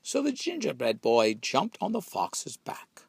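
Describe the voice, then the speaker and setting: Gravelly, animated man reading a storybook. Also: mid-range voice, unhurried, no echo. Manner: expressive, dramatic storytelling. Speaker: storybook reader. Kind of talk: scripted storybook narration.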